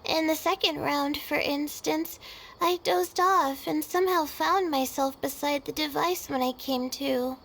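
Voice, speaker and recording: A woman's voice: sits high, a little slow, gravelly, fairly bright tone, exaggerated voice, low-quality recording. A woman voicing a sleepy, timid, self-doubting young female student in an anime video game, speaking hesitantly and modestly. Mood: bashful.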